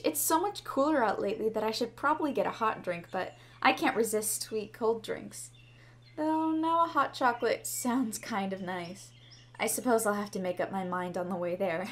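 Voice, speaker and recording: A woman's voice: mid-range voice, moderate pace, natural voice. A woman playing a shy, sweet schoolgirl with a crush in an ASMR roleplay, speaking in a bashful, hesitant, rambling way. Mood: chatty, cheerful. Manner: bashful, hesitant, chatty, giggly. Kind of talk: ASMR roleplay, scripted to the listener. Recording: clearly audible background ambience noise.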